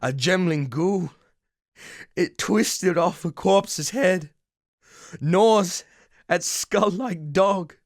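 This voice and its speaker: An actor playing a grave, bitter writer in a game, delivering dramatic monologue in a solemn, emphatic way. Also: male voice, sits high, extremely slow, pauses often, dry recording, clean studio sound, full character voice. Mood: anguished, tormented.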